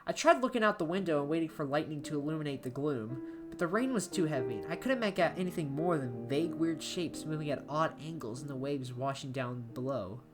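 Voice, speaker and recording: A woman reading a first-person horror story as an amateur narrator, expressive and dramatic, letting phrase endings drop to a hush. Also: deep voice, brisk, hardly any pauses. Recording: soft guitar music behind the voice. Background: plucked guitar music.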